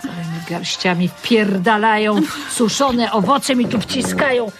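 An actress playing a coarse, foul-mouthed store security guard who hectors with scornful contempt in a radio drama. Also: female voice, mid-range voice, measured pace, soft background music, natural voice. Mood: contemptuous.

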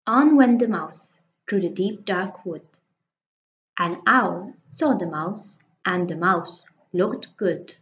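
A woman narrates a children's story with an expressive, lively melody. She takes it very slowly, and the recording is slightly muffled. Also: lowish voice. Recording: dull, lo-fi recording.

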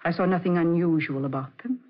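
Suspicious accomplice, male actor, very high husky voice, 1940s radio drama style. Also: a little fast, close to an everyday voice. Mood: probing, cool. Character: probing, suspicious accomplice wanting a share. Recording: muffled vintage radio recording.

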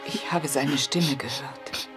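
A woman's voice: very deep voice, slow pace, loud music behind the voice. An actress playing a stern, grieving mother in a period mystery radio play, with a dramatic, intense delivery. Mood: anguished longing. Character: Glenda, grieving, stern mother longing for her vanished son.

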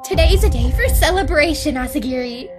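A woman's voice: high-pitched, animated, fairly quick, music in the background. A woman voicing a cruel, gleeful, mocking schoolgirl bully in an anime-style dub. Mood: gloating.

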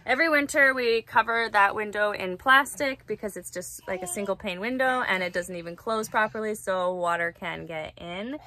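A woman's voice: medium pitch, normal speed, hardly any pauses, sharp tone. A woman in her thirties chatting casually and playfully to a handheld camera about her day, with self-deprecating humor. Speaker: family vlogger, mother. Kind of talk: casual chat to camera about daily chores. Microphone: camera or phone at arm's length. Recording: handheld recording with clear background noise.

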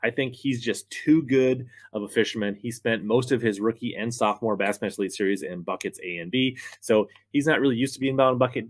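A man's voice: medium pitch, a little fast, few pauses, dry recording. An American man in his thirties giving sports commentary and picks to camera as the host. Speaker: fantasy fishing pundit and host. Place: small indoor room, seated at a desk.

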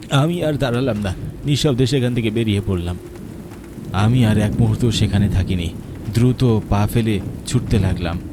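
A man's voice: fairly low pitch, normal speed, noisy background. A man narrating a horror story in a dramatic, fearful way.

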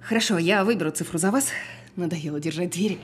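A woman's voice: fairly low pitch, quick pace, noisy background, close to an everyday voice. A woman acting in an audio drama as a weary, despairing, insistent caller who speaks bluntly and with resignation. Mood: insistent, impatient. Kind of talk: audio drama phone dialogue.

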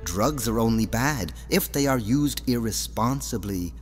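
A man's voice: medium pitch, expressive, measured pace, close to an everyday voice. An actor playing a sly, elderly mad professor in an audio drama, theatrical and insinuating as he coaxes. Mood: coaxing. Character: sinister elderly male mad professor, sly, coaxing manipulator. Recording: long reverb, music underneath.

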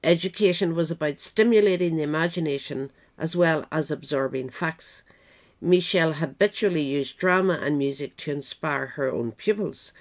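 A woman's voice: sits low, normal speed. A woman narrator reading a scripted biographical essay aloud. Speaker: narrator, reader.

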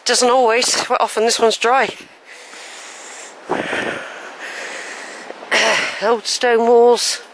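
A woman's voice: fairly high pitch, level tone, extremely slow, long pauses, a little hiss or hum. An older woman, chatting and thinking aloud as she films a woodland walk, a little wheezy. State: a bit wheezy. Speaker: walking vlogger. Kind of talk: casual walking commentary. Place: wooded track outdoors. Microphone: handheld camera while walking.

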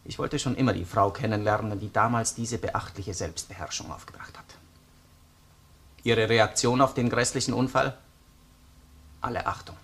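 Forceful, husky Austrian police detective. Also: male voice, lowish voice, exaggerated voice. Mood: coldly sardonic. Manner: probing, insistent, sardonic, deliberate phrasing. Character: relentless male Austrian police detective interrogating a suspect. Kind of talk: German-language crime radio play acting.

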